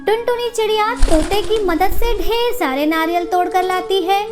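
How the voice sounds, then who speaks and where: A woman's voice: extremely high pitch, moderate pace. A woman reading scripted narration for a children's story.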